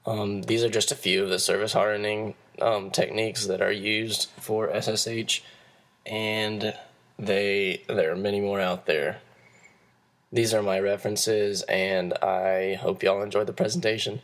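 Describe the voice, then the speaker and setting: A hoarse young man, losing his voice, giving a class presentation and explaining steps in a halting way with frequent restarts. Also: on the low side, little pitch movement, a bit bright. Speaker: student presenter.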